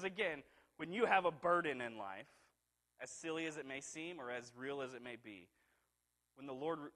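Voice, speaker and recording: Bright-voiced preacher in a reverberant church. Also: male voice, a little high. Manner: conversational, animated, asks the congregation "right?". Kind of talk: sermon with personal story. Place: church sanctuary, large hall. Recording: hall reverb in a church sanctuary.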